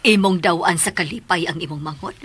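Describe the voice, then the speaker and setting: A woman playing a grave, reproachful older mother in a radio drama, with a breathy voice and a Filipino accent. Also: fairly low pitch, a little fast, background noise, lightly acted. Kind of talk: Cebuano radio drama acting.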